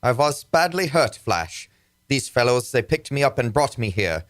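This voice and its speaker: Man as a hearty, animated old scientist. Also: mid-range voice, normal speed, natural voice. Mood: excited, hearty. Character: hearty older male scientist, hero's comrade. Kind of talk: old-time radio serial acting.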